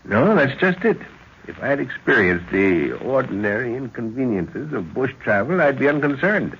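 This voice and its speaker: An actor playing a middle-aged professor and explorer, fatherly and measured, speaking formally in an old-time radio drama. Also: mid-range voice, sing-song, breathy edge, exaggerated voice. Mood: worried. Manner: formal, deliberate. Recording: muffled, hissy old radio recording.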